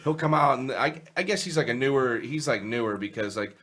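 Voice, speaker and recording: A hoarse man hosting a casual podcast, rambling with lots of filler and swearing. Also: medium pitch, a little fast, fairly bright tone. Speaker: podcast host.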